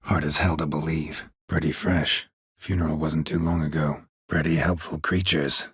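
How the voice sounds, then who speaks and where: A gruff, world-weary monster hunter for a video game. His voice is very low and heavily rasped, recorded dry and close. He speaks in terse, deadpan phrases with a stoic temper and dry understatement. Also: normal speed, dry recording, exaggerated voice. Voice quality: gravelly, husky rasp. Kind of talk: video game character dialogue.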